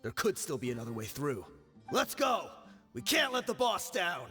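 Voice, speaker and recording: Eager male mercenary, video game, mid-pitched, animated, echoing reverb, faint music. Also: moderate pace, full character voice. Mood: urgent. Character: eager, loyal young male mercenary scout.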